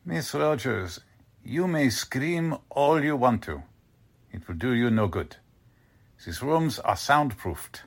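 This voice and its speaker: Imperious officer, mock-courteous menace, swooping intonation, rough-edged voice, old radio thriller style. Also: male voice, mid-range voice, animated, takes it slowly, full character voice. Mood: smug menace. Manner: formal, imperious, mock-polite. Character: menacing, courteous male Nazi German army officer. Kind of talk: 1940s radio drama acting.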